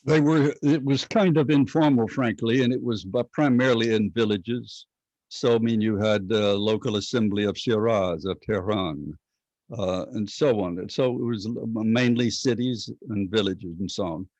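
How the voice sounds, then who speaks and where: An older man teaching an online class from a home study, speaking in a measured, halting way with many fillers, his voice a bit hoarse. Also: fairly low pitch, a little mellow, no room sound. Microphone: laptop webcam video call. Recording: dull lo-fi video call audio.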